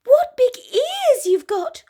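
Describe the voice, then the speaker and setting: A woman's voice: extremely high pitch, big pitch swings, slow pace, warm tone, voice pushed up, exaggerated voice. A woman doing a wide-eyed little girl. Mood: wondering. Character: sweet little girl in red cape.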